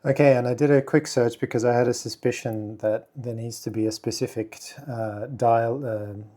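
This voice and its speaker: A man casually walking through software on his screen, thinking aloud with halting fillers and restarts. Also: medium pitch, fairly even intonation. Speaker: video creator testing software. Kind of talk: casual screen-recording walkthrough.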